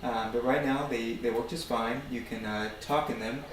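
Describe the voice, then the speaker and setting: An American man giving a casual DIY demonstration of a hobby project, speaking in a hesitant, halting, informal way. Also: mid-range voice, fairly even intonation, very noisy. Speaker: hobbyist maker presenting a project.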